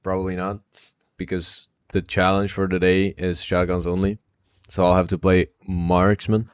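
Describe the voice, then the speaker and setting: A man's voice: low voice, moderate pace, clear, resonant tone, no room sound. A man from Czechia with a Czech accent, chatting casually with viewers during a live game stream, reading their messages aloud and mumbling short asides. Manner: relaxed, mumbling asides, repeats short phrases.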